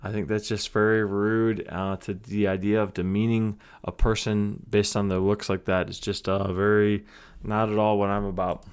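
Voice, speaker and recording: A man hosting a reaction video, giving casual, hesitant commentary with frequent pauses and restarts. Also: fairly low pitch, flat delivery, clean tone. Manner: hesitant, many fillers and restarts.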